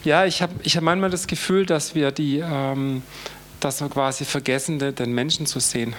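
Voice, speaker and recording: A man moderating a panel discussion, halting and repeating words when he gets stuck. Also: a little high, steady tone, a bit bright, a little hiss or hum.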